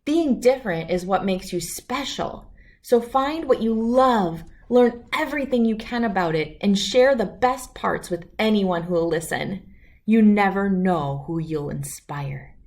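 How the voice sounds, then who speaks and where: A woman reads a children's picture book aloud. Her voice sits at a middle pitch, and she tells the story expressively, as a storyteller for young listeners. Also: expressive, measured pace, darkish tone.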